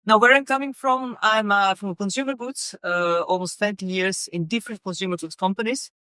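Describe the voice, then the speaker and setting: A middle-aged woman from Serbia speaks English in a relaxed interview. Her voice is smooth and resonant. She pauses often, with fillers and repeated words, and the sound is dry and close to the mic. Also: mid-range voice, dry recording. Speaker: guest, company executive. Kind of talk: casual interview conversation.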